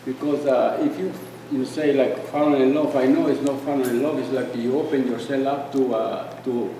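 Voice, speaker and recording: A man's voice: mid-range voice, fairly even intonation, dark tone, slight background noise. A man in the audience asking a hesitant question from across the room. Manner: hesitant, halting. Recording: distant, echoey hall with faint ambience.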